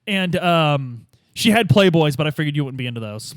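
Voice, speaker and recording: A man playing a tabletop roleplaying game, chatting and improvising in an informal, slangy, animated way. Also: on the high side, moderate pace, no echo, clean studio sound. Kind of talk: casual chat and improvised roleplay.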